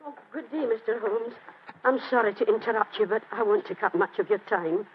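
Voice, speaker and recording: A woman's voice: fairly high pitch, a little fast, a little hiss or hum, close to an everyday voice. An actress playing a chatty, deferential old British landlady, apologetic and a little flustered, in a 1940s radio drama. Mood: apologetic, friendly. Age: older. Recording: muffled old-time radio broadcast.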